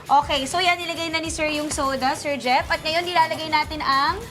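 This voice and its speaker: A woman's voice: sits high, fairly even intonation, brisk, fairly bright tone. A bubbly, chatty woman with a Filipino accent hosting a live morning show segment on location. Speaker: morning show field host. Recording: clear music behind the voice.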